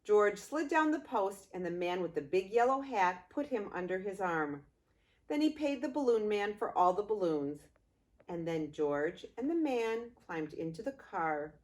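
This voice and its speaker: An older woman reading a picture book aloud to young grandchildren, expressively, with playful asides to the listeners. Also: mid-range voice. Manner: expressive, unhurried, addressing small children.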